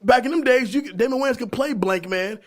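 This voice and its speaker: A man co-hosting a podcast, chatting casually in slangy, animated talk and repeating phrases for emphasis. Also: very high-pitched, brisk. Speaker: podcast co-host. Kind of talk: casual pop-culture chat.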